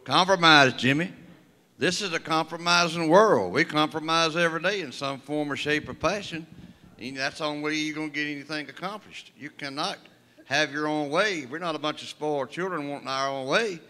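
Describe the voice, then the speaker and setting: A man at a workshop speaking forcefully and a bit preachily. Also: a little high, a little fast. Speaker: workshop participant. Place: conference room. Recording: distant from the mic in a reverberant hall.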